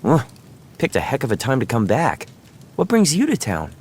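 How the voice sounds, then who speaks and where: Humbled, friendly young townsman fighter for a game; natural, hesitant, animated intonation. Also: mid-range voice, normal speed, a little hiss or hum. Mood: friendly, surprised. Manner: hesitant, informal, sheepish. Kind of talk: natural video game dialogue.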